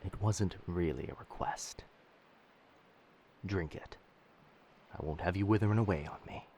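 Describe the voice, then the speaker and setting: Guy doing a deep pirate captain, bossy but protective, old sailor way of talking, close-up asmr roleplay drama. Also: a little slow, a little mellow, slight background noise. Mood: concerned. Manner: old-fashioned seafaring speech, addresses listener as lass. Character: dangerous but gentle male pirate captain, protective and commanding. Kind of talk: roleplay audio drama, intimate boyfriend ASMR.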